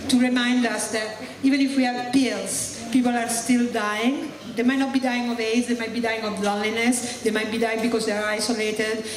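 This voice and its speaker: A woman hosts a live stage show with animated, laughing patter. Her voice is a bit high and comes from far off in an echoey hall, over a lively crowd. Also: normal speed, hardly any pauses. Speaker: show host.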